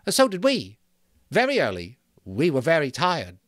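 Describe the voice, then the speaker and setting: A man's voice: on the high side, huge pitch swings, moderate pace, fairly bright tone, no echo, studio quality, pitched above the performer's own voice, full character voice. A man voicing nervous, evasive twin schoolboys in a storybook reading, hesitant and stilted, repeating himself. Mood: nervous evasion.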